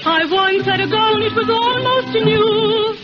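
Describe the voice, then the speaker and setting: A woman singing a sentimental operetta song in a 1930s radio broadcast, high soprano. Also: fairly even intonation, measured pace, loud music behind the voice. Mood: tender. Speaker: singer. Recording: muffled old radio with orchestra.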